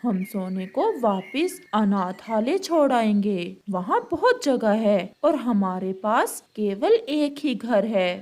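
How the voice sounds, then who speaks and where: Lady doing a bossy cartoon bird dad, stern, slow, kinda high pitched. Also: big pitch swings, darkish tone, a little hiss or hum. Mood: cold resolve. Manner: firm, commanding. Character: stern, stubborn father bird.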